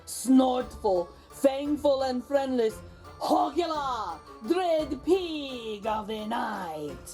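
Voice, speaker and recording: Female children's storyteller, high and mellow, slow, theatrical delivery with deliberate pauses. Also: animated, faint music behind. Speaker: children's read-aloud host. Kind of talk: expressive storybook narration.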